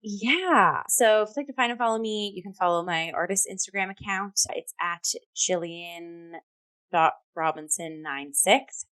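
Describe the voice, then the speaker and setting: A woman co-hosting a theatre review show, talking casually in an enthusiastic, expressive way. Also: lowish voice, unhurried, clean tone. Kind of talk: casual chatty review talk.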